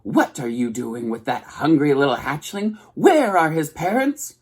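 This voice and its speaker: A man's voice: on the high side, animated, measured pace, slightly rough, voice pushed up, heavily acted. A man reading aloud and voicing a fussy, bossy old female goose who squawks and scolds. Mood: suspicious.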